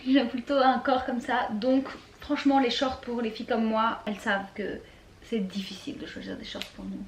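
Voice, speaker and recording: High-voiced, playful young woman vlogger, roomy sound. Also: normal speed. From Belgium. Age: 20s. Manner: animated, teasing, playful. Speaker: vlogger presenting a clothing haul. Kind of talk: casual banter to camera. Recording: roomy echo, faint background noise.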